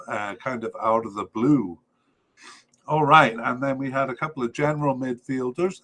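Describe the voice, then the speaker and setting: A man going through a squad list on his soccer podcast, halting often with fillers and correcting himself as he goes. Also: medium pitch, bright, forceful tone, low-quality recording. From Wales. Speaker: podcast host.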